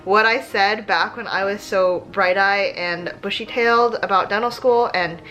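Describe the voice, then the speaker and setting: A woman's voice: medium pitch, fairly even intonation, normal speed, fairly bright tone, soft background music, low-quality recording. A young American woman in her twenties talking casually as a vlog host, chatty and playfully self-mocking, with dramatic emphasis and plenty of tips. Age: 20s. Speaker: YouTube host, dental student.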